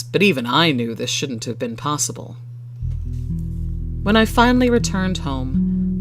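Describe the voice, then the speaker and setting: A storyteller reading a scripted first-person short story as narration. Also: medium pitch. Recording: background music under the voice.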